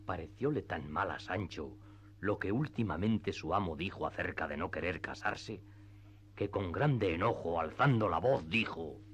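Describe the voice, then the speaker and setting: A man's voice: sits low, lively intonation, moderate pace, a bit bright, slight background noise. A man narrating a classic tale as the storyteller of a radio play.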